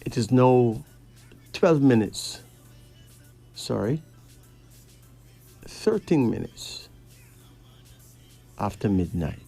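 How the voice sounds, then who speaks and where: A male late-night radio host from Barbados speaks with a Caribbean accent over faint music. His delivery is slow and deliberate, with pauses between phrases, as he talks to listeners and callers. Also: medium pitch, sing-song, gravelly, warm tone, husky.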